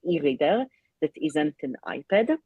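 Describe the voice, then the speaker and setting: A woman who is not a native English speaker talks over a video call, her voice husky and her words halting, full of fillers and restarts. The sound is dry and close, with no room around it. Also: sits low, big pitch swings, no echo, narrow, tinny audio. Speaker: co-host of a live reading stream. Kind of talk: casual chat.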